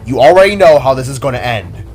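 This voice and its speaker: A man voicing an excitable, brash young armored hero in an audio drama, animated and exclamatory. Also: mid-range voice, fast, natural voice. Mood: commanding. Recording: background music under the voice.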